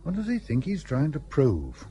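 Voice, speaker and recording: An actor playing a hearty, pompous British colonial host with old-fashioned clipped phrasing in a period radio play. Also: male voice, on the high side, huge pitch swings, normal speed, warm tone. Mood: puzzled, suspicious. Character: blustery, sociable British colonial husband and host.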